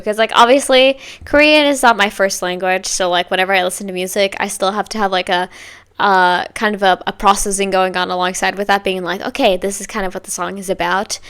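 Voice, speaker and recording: A woman hosting a music reaction video, talking casually and rambling with lots of filler words, with bursts of excited whoops. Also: medium pitch, smooth. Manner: chatty, rambling, heavy on "like". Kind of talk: casual first-listen reaction.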